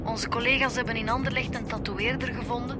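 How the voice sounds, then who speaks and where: An actress with a Flemish accent playing a chatty, keen police inspector in a radio drama. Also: female voice, on the high side, fairly even intonation, fast talker, over loud music, close to an everyday voice. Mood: eager.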